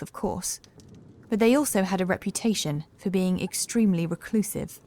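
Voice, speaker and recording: Woman doing a young housekeeper for a horror audiobook, calm n assured, proper wording, bit guarded and sad. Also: medium pitch, natural voice. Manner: measured, formal, composed. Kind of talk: horror audiobook character acting.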